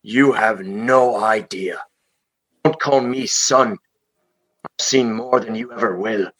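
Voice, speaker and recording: An actor playing a proud, blunt, battle-hardened station chief in a comedy radio play. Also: male voice, fairly low pitch, slow, noisy background. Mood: grim. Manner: blunt, defensive.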